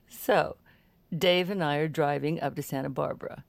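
A woman's voice: sits low, vocal fry, no room sound. A woman playing a chatty, consoling true-crime podcast host in audio fiction, with an emphatic, theatrical way of talking.

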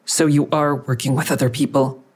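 A woman's voice: extremely low pitch, steady tone, a little fast, breathy edge. A woman playing a grim, confrontational investigator in an audio drama, questioning in a terse, dry, needling way. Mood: probing. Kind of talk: audio drama acting with narration.

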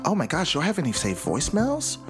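An interview guest speaking reflectively and conversationally, in an emphatic, expressive storytelling way. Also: medium pitch, fast talker. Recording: music playing behind the voice.

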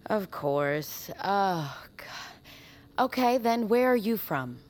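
A woman's voice: mid-range voice, expressive, very slow. A woman acting as a weary, guilt-ridden explorer with a wry streak in a naturalistic audio drama. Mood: incredulous.

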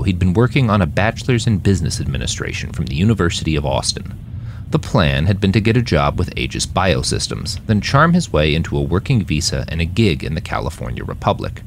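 A man reading scripted fiction narration in an expressive, dramatic storytelling manner. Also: deep voice, gravelly, a little hiss or hum. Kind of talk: scripted audiobook-style narration of a dystopian war novel.

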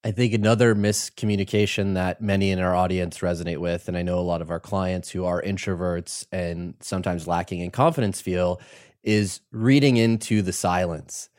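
A man hosting a podcast interview, speaking in a measured, reflective way. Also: sits low, fairly even intonation, darkish tone. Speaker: podcast host.

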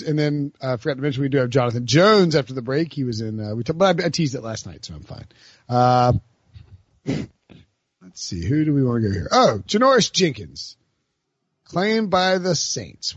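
A man's voice: on the high side, lively intonation, fairly quick, dry recording, muffled recording. A man hosting a casual sports talk podcast, loose and chatty, with frequent fillers and laughter. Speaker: podcast host.

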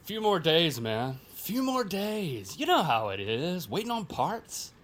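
A man's voice: on the high side, sing-song, takes it slowly, fairly bright tone, full character voice. A man playing an easygoing, stalling handyman dude who talks in slang in an audio drama. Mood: breezy excuses. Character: laid-back, stalling handyman dude named Gus. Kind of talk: audio fiction acting.

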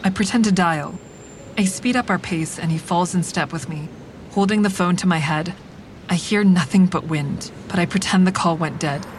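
An actress playing a wry, hard-edged woman who narrates her own story in a frank, deliberate dramatic style. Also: close to an everyday voice. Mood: calculating, intimate. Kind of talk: scripted first-person dramatic narration. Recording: clear rumbling traffic noise behind.

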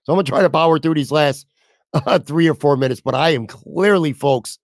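A man hosting a solo sports podcast, hoarse as he loses his voice, blunt and casual with some swearing. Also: fairly high pitch, brisk, dry recording, clean studio sound. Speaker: podcast host.

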